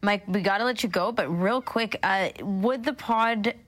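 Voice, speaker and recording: A woman's voice: mid-range voice, unhurried, a bit bright. A woman co-hosting a talk show in a casual broadcast style.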